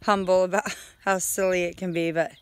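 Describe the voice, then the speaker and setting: A woman in her forties giving a casual, halting homestead video diary outdoors, talking into a phone at arm's length. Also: lowish voice, level tone, smooth, no echo. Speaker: homesteader vlogger. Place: outdoors on a farm. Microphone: phone held at arm's length.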